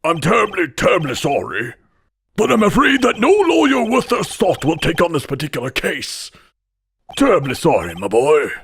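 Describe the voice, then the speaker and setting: A man's voice: on the high side, huge pitch swings, moderate pace, a bit bright, breathy edge, full character voice. A man voicing a pompous, blustering older male lawyer in a video game fan dub, theatrical, evasive and old-fashioned in his phrasing. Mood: flustered, evasive.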